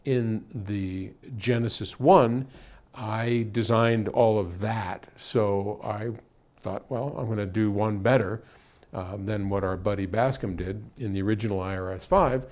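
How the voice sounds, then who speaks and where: An older man with an American accent speaks in a fairly low voice. He hosts in a casual, conversational way, answering questions and laying out technical explanations piece by piece. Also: a little slow, a little mellow. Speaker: audio company founder and host answering viewer questions.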